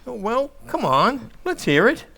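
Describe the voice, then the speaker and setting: An actor playing a gruff, blustering working-class father in an old-time radio comedy. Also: very high voice, very animated, slow pace, bright, forceful tone, heavily acted. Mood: jovial, curious. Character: gruff working-class dad, dense, blustering, easily offended.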